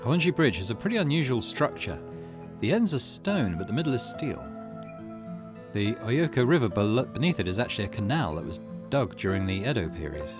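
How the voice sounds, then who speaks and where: A man voicing a wary, excitable young hero of a visual novel, full of questions. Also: mid-range voice, a little fast, close to an everyday voice. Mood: musing. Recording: music behind the voice.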